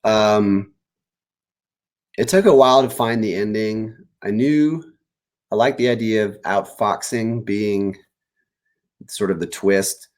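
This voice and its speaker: A man talking casually as an author guest in an interview, animated and rambling as he pieces ideas together aloud. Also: fairly low pitch, takes it slowly, pauses often. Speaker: children's book author, guest. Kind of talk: casual interview answers about writing.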